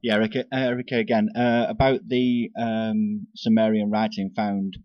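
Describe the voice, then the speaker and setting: A man's voice: fairly low pitch, little pitch movement, no echo, muffled recording. Hesitant English man calling in. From England. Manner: hesitant, halting with many fillers. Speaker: caller.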